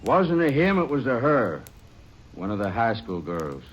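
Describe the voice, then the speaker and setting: A man's voice: mid-range voice, big pitch swings, breathy edge. An actor playing a nervous, defensive male murder suspect who hesitates and hurries his answers, in 1940s radio drama style. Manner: defensive, hesitant and quick when pressed. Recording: dull old radio recording with faint hiss.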